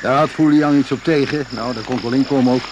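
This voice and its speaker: A cheeky rogue for hire played by a man in an old radio drama. He speaks at a medium pitch, nonchalant and mocking, with colloquial turns. Clear noise lies over the recording. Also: steady tone, quick pace, lo-fi sound, lightly acted. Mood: sly, flippant. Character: cheeky, shady hired adventurer, sly and flippant.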